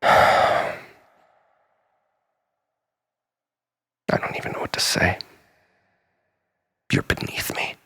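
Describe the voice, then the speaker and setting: A man playing an obsessive, bitter, unhinged jilted lover in a dramatic monologue, volatile and halting, moving between breathy whispers and outbursts. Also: pushed and bright. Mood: quiet contempt. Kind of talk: dramatic first-person monologue.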